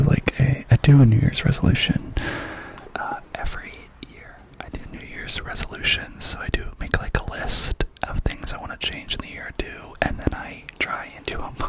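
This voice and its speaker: A young man from Massachusetts whispers close and soft through a loose, wandering ASMR ramble. His hushed voice still rises and falls a lot, and he keeps circling back with fillers and repeated words. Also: medium pitch, gravelly. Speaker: ASMR channel host.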